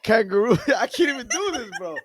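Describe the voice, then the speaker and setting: A man co-hosting a comedy podcast, complaining excitably in slangy, casual banter. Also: sits high, brisk. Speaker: podcast co-host.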